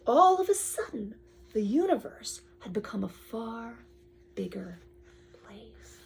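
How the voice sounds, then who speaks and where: A woman reading aloud to a child with chatty asides, expressive and full of wonder, often dropping to a whisper. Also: a little high, gravelly, slight background noise, low-quality recording.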